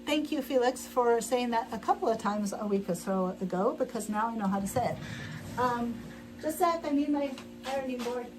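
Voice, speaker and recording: A woman's voice: on the high side, expressive, fairly quick, noisy background. A middle-aged woman chatting casually as she sews on a livestream, rambling with frequent restarts and laughs, in her sewing room at home. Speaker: livestream host. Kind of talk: casual chat while sewing.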